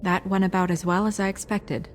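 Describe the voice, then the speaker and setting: An actress playing a composed, businesslike woman official in a video game, measured, matter-of-fact and dryly determined. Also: mid-range voice, level tone, a little hiss or hum, natural voice.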